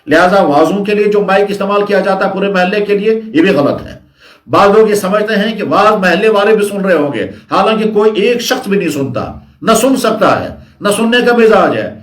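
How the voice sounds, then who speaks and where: A man giving an instructional Islamic sermon in a didactic way, repeating phrases for emphasis. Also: high voice. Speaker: Islamic preacher. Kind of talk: sermon, instructional religious talk. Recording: echoey, reverberant room.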